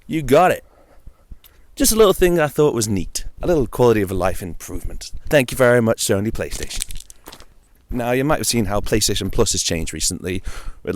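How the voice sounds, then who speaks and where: A man hosting a gaming audio log, chatting casually and informally on his own, with playful, wry asides. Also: lowish voice. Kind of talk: casual solo chat about video games.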